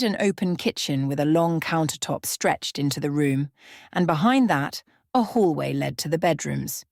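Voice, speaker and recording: A woman's voice: fairly low pitch, dry recording, studio quality. A woman reading a scripted bedtime story as a storyteller in an ASMR style.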